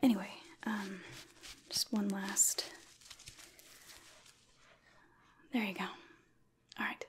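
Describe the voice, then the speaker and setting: A young woman playing a tough, sarcastic female rescuer in an ASMR roleplay, breathy, hushed and offhand. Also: mid-range voice, little pitch movement, a little slow, slight background noise, pitched below the performer's own voice, lightly acted. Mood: low-key, apologetic. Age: 20s. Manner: sardonic, offhand. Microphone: close microphone.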